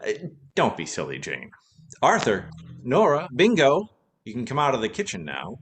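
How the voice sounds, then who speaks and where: A man's voice: mid-range voice, huge pitch swings, moderate pace, fairly bright tone. A man playing a wry, wisecracking, tipsy former detective in a vintage-style radio play. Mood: cool, scheming. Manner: wisecracking, teasing.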